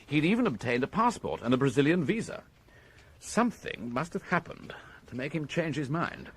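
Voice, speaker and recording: An actor playing a methodical, courteous British police inspector in a radio crime drama, speaking in a measured, formal and precise way. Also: male voice, a little high, very animated, husky, full character voice. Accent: British English. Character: methodical, courteous male police inspector reporting his findings.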